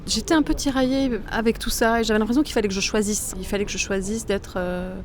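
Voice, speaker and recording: A woman from Lebanon, a comics author, gives thoughtful interview answers and often pauses to search for words. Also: medium pitch, steady tone. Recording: noisy outdoor street ambience. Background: street ambience and passing vehicles.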